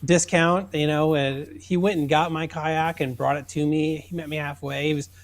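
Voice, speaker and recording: A man's voice: on the high side, steady tone, moderate pace. A man chatting casually as a guest on a podcast, talking as a sponsor and hobby angler. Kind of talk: casual chat.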